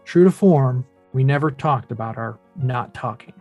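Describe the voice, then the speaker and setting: A man playing a wounded, earnest adult son who narrates his father's life in a measured, reflective way at a script read. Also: mid-range voice, muffled recording, soft background music, natural voice. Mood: wistful, resigned. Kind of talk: dramatic table read, first-person narration.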